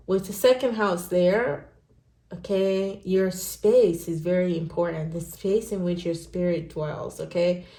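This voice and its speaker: A woman from Nigeria, speaking English as a second language, giving a casual tarot reading to viewers in a chatty way, often tagging her sentences with "okay?" and "right?". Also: mid-range voice. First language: not English. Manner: chatty, animated, many "okay?" and "right?" tags. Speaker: tarot reader. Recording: roomy echo.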